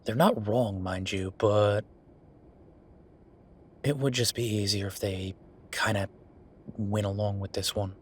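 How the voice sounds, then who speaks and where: A tired werewolf boyfriend acted out by a man speaks in a lowish voice over noticeable background ambience. He is frustrated yet affectionate, talking in a natural, intimate, world-weary way, as in a roleplay audio drama. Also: steady tone, slow pace, pauses often. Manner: conversational, sighing, world-weary. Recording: clear background noise.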